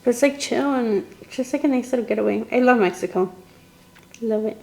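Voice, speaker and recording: Slightly high, gravelly young woman. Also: fairly quick, poor audio. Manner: relaxed, lots of "like" fillers. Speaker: podcast guest. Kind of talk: casual chat.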